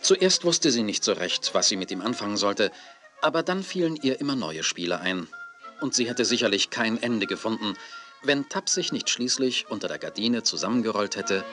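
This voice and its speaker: An actor narrating a children's audio drama as a storyteller, in scripted style. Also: male voice, on the low side, animated, normal speed, bright, forceful tone, faint music behind. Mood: storytelling. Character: storyteller of a gentle children's tale.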